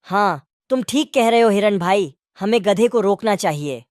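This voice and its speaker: A woman voicing a curious, sceptical, level-headed male jackal in an animated fable. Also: mid-range voice, normal speed, no room sound, clean studio sound, natural voice. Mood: explaining. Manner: questioning, reasoning. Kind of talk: Hindi animated moral story acting.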